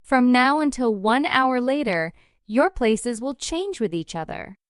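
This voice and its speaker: A magical storybook tree, read by a woman, teaching children with solemn pronouncements. The voice is high, smooth and dry. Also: lively intonation, unhurried, no echo. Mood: instructive.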